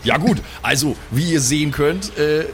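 A man's voice: fairly high pitch, animated, normal speed, a bit bright, slight background noise. A man playing Hansen, a folksy teasing brother. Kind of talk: improvised tabletop role-play.

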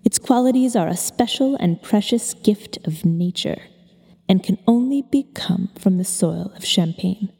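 A woman's voice: mid-range voice, animated, unhurried, vocal fry, dark tone, husky, big-room sound, full character voice. A woman reading aloud a speech by a proud nineteenth-century trade union leader, in an earnest, declaiming way.

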